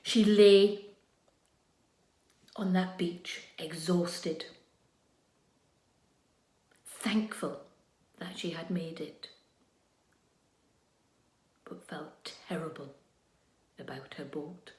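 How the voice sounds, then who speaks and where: A woman telling a children's story expressively and dramatically, moving between hushed and louder delivery. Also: on the low side, drawn-out words, pauses often. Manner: expressive, swinging from hushed to loud. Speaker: storyteller, primary school staff reading to children. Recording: echoey room.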